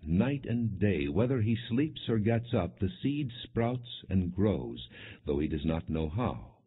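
Male scripture narrator: low, husky, solemn and measured; dull, band-limited audio. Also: very animated. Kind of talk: scripted narration, non-dramatized scripture reading.

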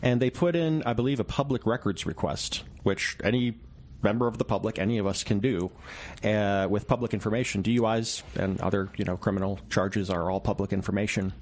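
A man with a fairly low voice talks as an interview guest. He explains conversationally and in a measured way, like a journalist qualifying each detail. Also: darkish tone.

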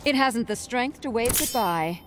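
An actress playing a proud royal warrior in a fighting game, imperious, formal and cutting. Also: female voice, on the high side, expressive, a little slow, very noisy. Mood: cool scorn. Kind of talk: dramatic fighting-game acting.

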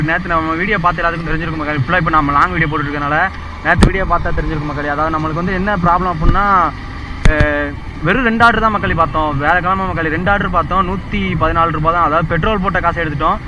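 Fast-talking young man on a motorbike. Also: fairly high pitch, lo-fi sound. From India. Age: young adult. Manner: chatty, addresses viewers constantly, rambling repetitions. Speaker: motovlogger. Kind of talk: casual chat to viewers while riding. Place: outdoors on a moving motorbike, city streets. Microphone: helmet or chest action camera. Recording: traffic and wind noise.

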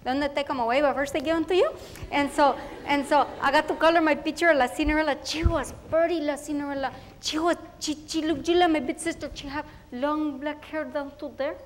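A woman voicing a young Mexican-American girl on her first day of school, chatty and halting, mixing Spanish words into her English and speaking with a Mexican Spanish accent. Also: high-pitched, long reverb tail, natural voice.

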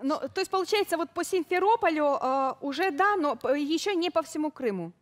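A woman with a high voice hosts a live morning television interview. She is effusive and eager, chatting conversationally with her guest. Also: animated, fairly quick. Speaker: morning TV show host. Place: TV studio.

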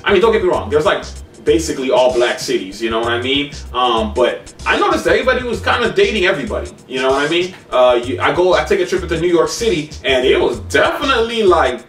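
A man in his thirties talks through his opinions casually as a co-host, often restarting and repeating words. His voice sits a bit high for a man. It is recorded from a little distance in an echoey home room, with music behind. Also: sing-song, fast talker, a bit bright. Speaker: co-host of online discussion show. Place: small room at home, indoors.

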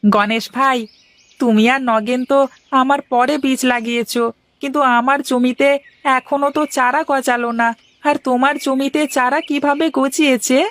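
A woman voicing a naive, hardworking male farmer, trusting and plaintive, in an animated moral story. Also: high-pitched, normal speed. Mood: puzzled. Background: prominent background music.

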